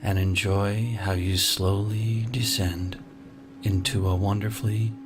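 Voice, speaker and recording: Slow, deep-voiced male meditation guide. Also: little pitch movement. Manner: slow, soothing, gently paced instructions. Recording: soft music clearly behind the voice.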